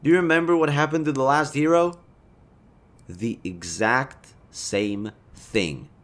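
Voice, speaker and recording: A man's voice: medium pitch, a little slow, fairly bright tone, close to an everyday voice. A man voicing a smug, teasing but caring supervillain in an intimate audio roleplay, talking conversationally and straight to the listener. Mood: concerned, indignant. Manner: teasing, conversational, swinging from playful to earnest. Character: smug, teasing yet caring male supervillain, city-wide rival.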